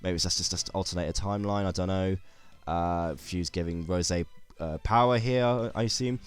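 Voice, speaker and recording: Low-voiced man with a sore throat. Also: normal speed, clear, resonant tone, faint music behind. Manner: rambling, many restarts and fillers. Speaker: YouTube gaming commentator. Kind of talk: casual video commentary.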